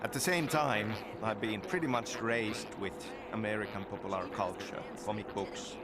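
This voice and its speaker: A man's voice: lowish voice, normal speed, heavy background noise. A man from Finland who speaks hesitantly, restarting as he searches for words.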